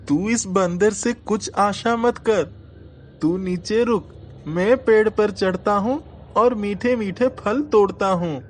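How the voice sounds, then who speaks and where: A man's voice: high voice, slight background noise, pitched above the performer's own voice. A man voicing a protective, slightly bossy boy, the older brother in a children's cartoon story. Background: music.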